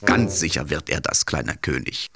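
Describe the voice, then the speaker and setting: A man playing a patient, gently wry storyteller narrating a children's cartoon. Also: a bass voice, expressive, normal speed, creaky, full character voice.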